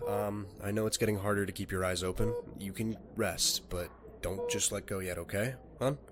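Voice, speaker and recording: A man playing a calm emergency doctor in an audio roleplay, gentle and reassuring yet authoritative. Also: lowish voice, moderate pace, close to an everyday voice. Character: calm, reassuring male emergency doctor tending critical patient.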